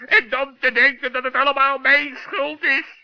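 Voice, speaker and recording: A man's voice: extremely high pitch, level tone, normal speed, creaky, voice pushed up. A man voicing an agitated, guilt-ridden talking forest bird who repeats himself in a children's radio play. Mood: distraught. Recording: dull old 1960s radio recording.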